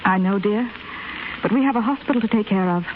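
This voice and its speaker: An actress playing a brisk, sensible, authoritative head nurse in a 1930s radio drama. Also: female voice, medium pitch, phone-quality sound, lightly acted. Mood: firm, consoling. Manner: authoritative, crisp. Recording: scratchy, thin 1930s radio broadcast recording.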